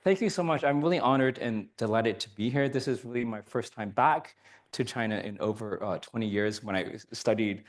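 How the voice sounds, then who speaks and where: Hoarse soft-spoken male lecturer. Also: mid-range voice, fairly quick. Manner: soft-spoken, many fillers. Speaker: guest lecturer. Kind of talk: academic lecture.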